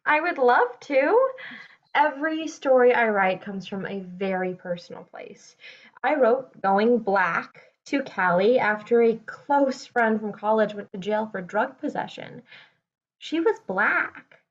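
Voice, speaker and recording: A woman's voice: a little high, animated, a little slow. A woman playing a precious, self-serious playwright in a satirical online play, solemn and awkwardly earnest. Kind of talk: satirical dialogue in an online one-act play. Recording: slightly muffled video-call audio.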